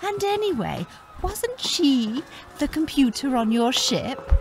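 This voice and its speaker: A woman playing a naive, snobbish high-society lady, gushing and theatrical, in a comic sci-fi audio drama. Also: sing-song, slow, soft background music, exaggerated voice. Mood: naive, certain.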